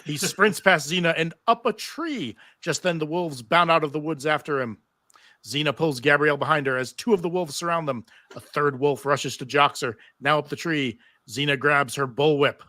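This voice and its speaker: A man reads stage directions aloud as narrator of a live table read. His voice is bright and forceful, pitched a bit high, with a dry, close sound. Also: normal speed, no echo.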